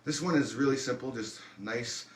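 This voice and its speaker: A man leading a warm-up and stretching class in a relaxed, encouraging, chatty way, adding small asides as he goes. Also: medium pitch, moderate pace. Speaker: fitness instructor. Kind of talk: guided workout instruction.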